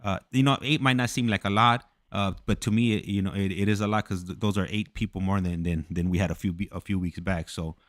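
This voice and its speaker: A man with a very low voice, recorded dry with little room sound. He talks casually as the host of a live show. His delivery is hesitant, with phrases often started over. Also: steady tone, a bit bright, dry recording. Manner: hesitant, halting, repeats words. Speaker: host of a live prison call podcast. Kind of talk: casual conversational talk.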